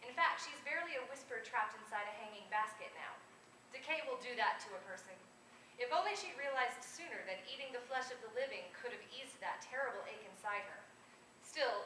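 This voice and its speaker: A woman's voice: a little high, sharp tone. A woman author reading humorous prose aloud at a live event. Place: convention room, live event. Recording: echoey, noisy room from far off.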